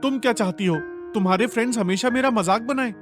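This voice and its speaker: A man voicing a plain, insecure young husband in an animated comedy story. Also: extremely high pitch, fast, over music. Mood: upset.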